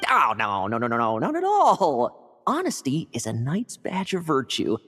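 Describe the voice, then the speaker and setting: A woman's voice: extremely low pitch, huge pitch swings, measured pace, fairly bright tone, in a large hall, faint music behind, exaggerated voice. An actress playing a glib, evasive young female knight in a video game, sly and theatrical in manner. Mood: cajoling. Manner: smooth-talking, theatrical, sly.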